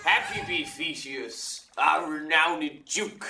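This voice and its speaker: A man's voice: fairly high pitch, slow, creaky, noisy background. An actor playing an angry, possessive old father in a Shakespearean audio drama, declaiming with indignation. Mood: vexed, formal. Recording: roomy echo, distant from mic.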